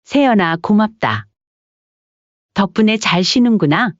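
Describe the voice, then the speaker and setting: A woman playing a gentle, long-suffering older mother-in-law in an audio story, speaking softly and considerately. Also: mid-range voice, expressive, a little slow, dry recording, highs cut off, natural voice. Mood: gentle concern. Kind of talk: dramatized audio story dialogue.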